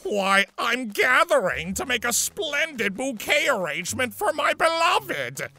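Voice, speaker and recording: A performer voicing an ancient male centaur villain in a cartoon story, lovesick and doting, gravelly and theatrical. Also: high-pitched, very animated, a little slow, pushed and bright, full character voice. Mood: cheerful, doting. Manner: theatrical, syrupy, grandiose. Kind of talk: cartoon fan fiction voice acting.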